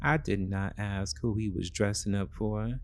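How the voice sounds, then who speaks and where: A man narrating a scripted first-person thriller story in short, deliberate, measured phrases. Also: fairly low pitch.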